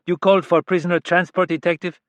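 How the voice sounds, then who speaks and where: A man's voice: on the high side, lively intonation, quick pace, no echo, voice pushed up. A male narrator voicing a young woman posing as a policewoman, firm, official and clipped.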